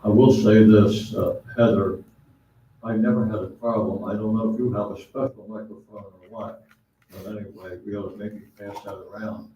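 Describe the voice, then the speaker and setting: A man's voice: fairly low pitch, little pitch movement, normal speed, a little hiss or hum. A man speaking at public comment in a council meeting, blunt and complaining, with hesitant fillers. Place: echoey council chamber. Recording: dull, echoey hall from far off.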